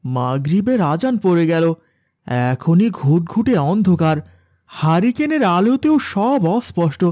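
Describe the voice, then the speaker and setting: Man playing a high-voiced, weary village van driver. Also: sing-song, slow pace, darkish tone, dry recording, studio quality, heavily acted. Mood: anxious, determined. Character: honest, hardworking village van driver, worried young father. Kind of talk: Bengali horror cartoon dialogue.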